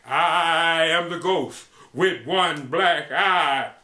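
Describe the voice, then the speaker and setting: Guy doing a spooky ghost, slooow drawn-out moan, roomy sound. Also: on the high side, bright, forceful tone, full character voice. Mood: eerie. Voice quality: drawn-out, eerie.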